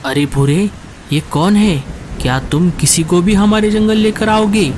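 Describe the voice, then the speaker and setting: A stern male cartoon crow, voiced by a man, slightly high in pitch. He questions and lays down rules like a bossy elder brother, with faint noise behind him. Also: unhurried, darkish tone. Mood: stern, suspicious. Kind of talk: Hindi cartoon story dialogue.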